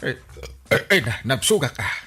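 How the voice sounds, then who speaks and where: An actor playing a bumbling, forgetful village captain in an Ilocano radio comedy, stammering and exclaiming in a flustered way. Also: male voice, mid-range voice, very animated, moderate pace, pushed and bright, faint music behind. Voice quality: stammering, hesitant speech with many eh's.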